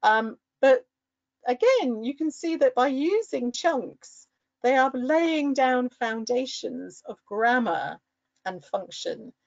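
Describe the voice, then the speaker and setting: A middle-aged woman giving an encouraging talk to fellow English teachers, with lively bursts when quoting pupils. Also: sits high, takes it slowly, vocal fry, no echo, highs cut off.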